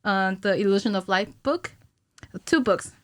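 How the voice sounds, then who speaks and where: A woman's voice: mid-range voice, moderate pace, dry recording. A woman around her thirties in casual interview chat as a guest, explaining things in a giggly, informal way. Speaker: podcast guest, animator. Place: small indoor podcast studio. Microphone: close studio mic on a stand.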